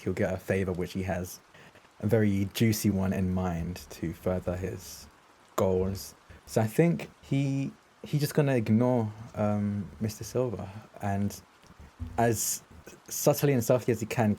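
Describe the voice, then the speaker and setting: A man's voice: fairly low pitch, mellow. A man casually narrating his character's moves as a tabletop game player, hesitantly thinking aloud. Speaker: player in an actual play podcast.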